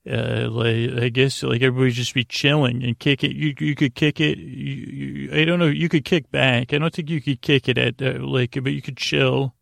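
A man hosting a bedtime sleep podcast, with a creaky voice and a gentle, silly, meandering manner full of hesitations, tangents and pauses. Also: mid-range voice, flat delivery, no room sound. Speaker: podcast host.

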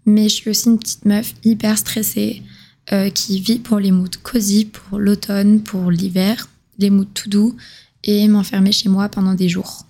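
Twenty-something girl from the south of france chatting solo on her podcast, pretty flat delivery, laid-back and confiding. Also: medium pitch, measured pace. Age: 20s. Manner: casual, confiding, slangy. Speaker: podcast host.

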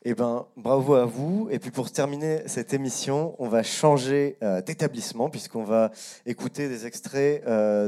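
A man hosting a radio show who introduces student guests, friendly, with frequent pauses and hesitations. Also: medium pitch, dark tone. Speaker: radio host.

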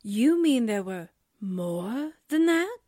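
A woman's voice: on the high side, very animated, slow pace, mellow, dry recording, studio quality, full character voice. A woman playing an astonished, outspoken newspaperwoman sidekick in 1940s radio drama style. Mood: astonished, indignant.

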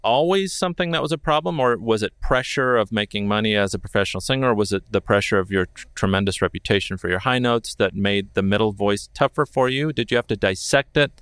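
A man's voice: on the low side, quick pace, few pauses, a bit bright, smooth, dry recording. A man who also sings, interviewing a fellow singer in a chatty, joking way with long questions. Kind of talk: casual interview conversation.

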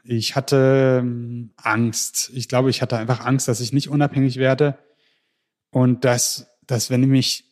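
German male podcast guest, average pitch, animated storyteller who often restarts his sentences. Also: takes it slowly. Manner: lively storytelling with frequent restarts. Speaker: interview guest. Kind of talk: casual podcast interview.

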